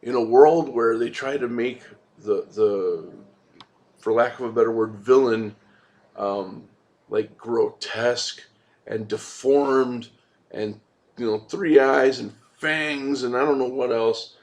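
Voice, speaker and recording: Male vlog host, mid-pitched, laid-back and informal, talking movies off the cuff. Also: slow, a little mellow. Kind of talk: casual rambling movie review vlog.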